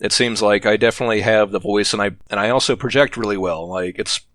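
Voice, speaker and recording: A man's voice: on the low side, steady tone, quick pace, fairly bright tone, no room sound. A man rambling through anecdotes as a podcast guest, chatty and laughing often. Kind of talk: casual podcast chat.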